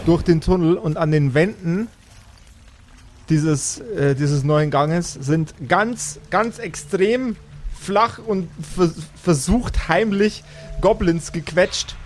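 Guy running a pen and paper game, slightly high voice, bit of noise behind him, feels his way through descriptions. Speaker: game master. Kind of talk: improvised tabletop game narration.

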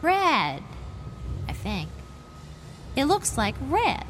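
A woman's voice: sits high, wildly swooping pitch, very slow, a bit bright, slight background noise, full character voice. A woman playing a plucky, inquisitive young amateur sleuth with a wry, eager delivery, in old-time radio mystery comedy style. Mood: wry, curious.

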